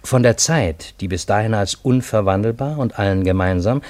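A man's voice: fairly low pitch, moderate pace, a little mellow, no echo, lightly acted. An actor playing a genial, easily bewildered Parisian in a German radio play, urbane and wry in his literary storytelling. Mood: wry, narrating. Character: genial, bewildered Parisian man narrating a fantastic encounter.

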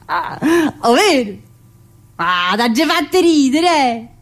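A woman's voice: high voice, sing-song, slow, heavily acted. A woman playing a cheeky, needling female prison inmate in a colloquial theatre monologue, with a southern Italian accent and a teasing, familiar manner. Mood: teasing, restless. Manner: teasing, familiar, full of rhetorical questions. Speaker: stage actress performing a monologue. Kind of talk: theatrical monologue in colloquial dialect-tinged speech.

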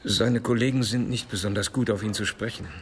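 A man's voice: lowish voice, fairly even intonation, fairly quick, a little hiss or hum, close to an everyday voice. A man playing a practical, teasing police colleague who talks in a wry, matter-of-fact way in a radio crime drama.

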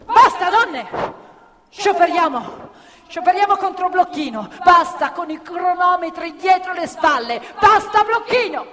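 An actress playing an angry woman factory worker, shouting urgently and defiantly in a theatrical radio play. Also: very high voice, lively intonation, unhurried, a little hiss or hum, voice pushed up, exaggerated voice. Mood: defiant, rousing. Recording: reverberant hall with live music.

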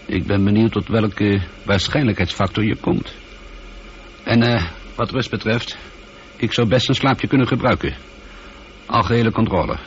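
A man's voice: fairly low pitch, normal speed, pauses often, lightly acted. A man playing a wry space pilot in a science fiction radio drama, talking in a dry, informal, conversational way. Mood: wry, relaxed. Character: male space pilot, wry, teasing, easily irritated but apologetic. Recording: dull, lightly noisy old radio recording.

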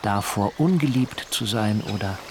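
An actor playing an earnest, dreamy young idealist planter in a reflective, deliberate radio drama monologue. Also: male voice, fairly low pitch, animated, background noise, lightly acted. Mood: introspective, relieved. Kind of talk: introspective first-person radio drama monologue.

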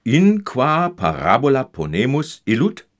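An actor playing a learned, fair-minded Byzantine scholar in a German radio play, formal and authoritative. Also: male voice, medium pitch, wildly swooping pitch, measured pace. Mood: examining.

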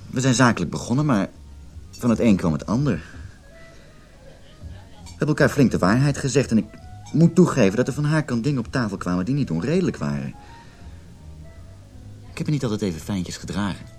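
A conflicted married lover in a radio play, his male voice deep and very animated in intonation. He is evasive and defensive, trying to placate with emotion. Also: fairly quick, pauses often, slightly rough, faint music behind. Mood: coaxing. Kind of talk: Dutch radio play acting.

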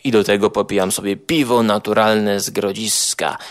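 Low-voiced man, jokingly boastful podcaster. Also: level tone, normal speed. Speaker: podcast co-host reviewing podcasts. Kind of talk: informal, humorous podcast monologue.

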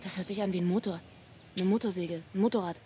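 A young actress playing an impatient, foul-mouthed backpacker in a naturalistic radio drama, speaking in a slangy, brusque way. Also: female voice, fairly low pitch, fairly even intonation, fast talker, mellow, slight background noise, close to an everyday voice. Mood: incredulous. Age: young adult.

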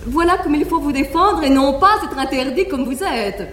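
An actress playing a proud, wronged noble wife who declaims with bitter irony in a classical stage drama, on an old live theatre recording. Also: female voice, sits high, expressive, moderate pace, fairly bright tone, reverberant, in a large hall, a little hiss or hum. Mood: ironic.

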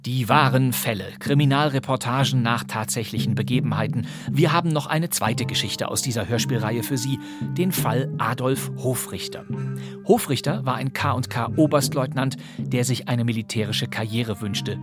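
A male radio presenter with a mid-range voice reads scripted introductions and credits for radio plays. Music plays clearly behind him. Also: mid-range voice, normal speed.

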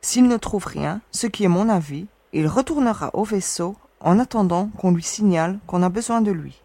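A woman voices a resolute young explorer on an alien world. She reasons aloud in a calm, commanding way, dry and close to the mic. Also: medium pitch, normal speed, no room sound, close to an everyday voice. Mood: steady, reasoning. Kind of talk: audio drama acting.

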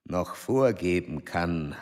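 A man acting an ageing male explorer-scientist in a German radio play, grave and fatalistic, with a measured, formal delivery. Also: low-pitched, animated, darkish tone. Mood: solemn oratory. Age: older. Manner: measured, formal, oratorical pauses. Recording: long hall reverberation.

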